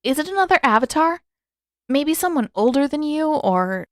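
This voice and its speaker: A woman's voice: high voice, big pitch swings, moderate pace, dry recording, voice pushed up. An earnest young boy acted by a woman reader from an audiobook adventure. The voice is raised and youthful, with a nervous, questioning, polite way of talking, recorded dry. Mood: curious, uneasy. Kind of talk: fan fiction audiobook character voice.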